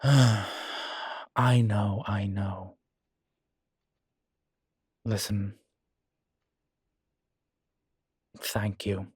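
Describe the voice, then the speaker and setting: A man playing a guilt-ridden, gentle and wry ancient vampire in an intimate audio roleplay, speaking in a halting, reflective way. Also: lowish voice, fairly even intonation, husky, no room sound, studio quality, heavily acted. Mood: earnest, defensive, then reflective, humbled. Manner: halting, reflective, with sighs and soft chuckles. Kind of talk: intimate one-sided audio roleplay acting.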